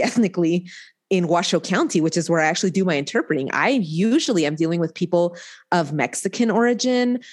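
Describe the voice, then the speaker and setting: A woman, a court interpreter from the United States, talking casually in an interview with frequent laughs. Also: lowish voice. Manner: chatty, laughing, warm asides.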